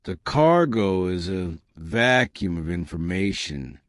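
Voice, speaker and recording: Guy reading as an ominous freight handler in a story, low voice, slow grave warnings, really dry sound. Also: big pitch swings, dry recording, full character voice. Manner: grave, cautionary. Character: ominous male cargo handler giving hushed warnings.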